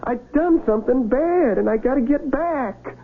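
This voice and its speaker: An actor playing a confused young Union soldier from Ohio, speaking in stubborn, ungrammatical country talk in a radio drama. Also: male voice, very high-pitched, lively intonation, measured pace, a little hiss or hum, full character voice. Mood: defiant. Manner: rustic ungrammatical speech, urgent and stubborn. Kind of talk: 1970s radio drama acting. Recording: tinny old radio broadcast.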